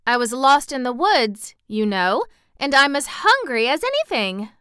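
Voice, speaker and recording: A woman's voice: high-pitched, big pitch swings, measured pace, fairly bright tone, smooth, dry recording, studio quality, voice pushed up, heavily acted. A woman voicing a hungry little girl in a storybook, polite, pleading and plucky. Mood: polite, apologetic. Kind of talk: storybook character reading.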